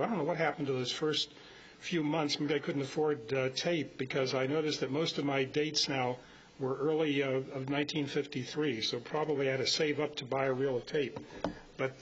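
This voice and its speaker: Older man in his seventies, higher-pitched, halting informal presenter, muffled meeting recording. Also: a bit bright, husky. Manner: halting, rambling. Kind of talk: informal talk to a club meeting. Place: club meeting room. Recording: muffled live meeting audio with hiss.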